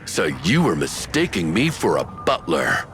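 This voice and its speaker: An actor playing a haughty, authoritative senior commander in a video game, speaking formally and pompously. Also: male voice, deep voice, huge pitch swings, a little slow, a bit bright, exaggerated voice. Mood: stern, condescending. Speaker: senior commander and diplomatic envoy. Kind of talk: scripted video game briefing. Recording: music behind the voice.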